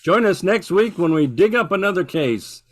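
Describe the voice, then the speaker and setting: A man's voice: high-pitched, lively intonation, normal speed. An older man giving scripted narration for an amateur old-time radio detective play.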